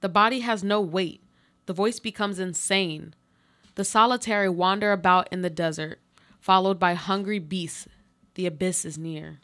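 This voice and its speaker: A woman's voice: fairly low pitch, normal speed, a bit bright. An actress playing a trapped, injured and resigned woman in a literary radio play, speaking with earnest, poetic delivery. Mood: sombre.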